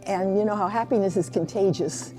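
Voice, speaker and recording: A nun in her late eighties recalls her youth earnestly. Her voice is soft and mellow, with faint music behind her. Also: mid-range voice, a little fast, poor audio. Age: 80s. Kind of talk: oral history interview.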